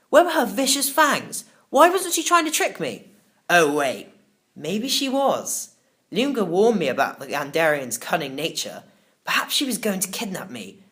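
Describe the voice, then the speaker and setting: A man narrating a children's novel in the first person, expressive and theatrical. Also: sits high. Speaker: audiobook narrator.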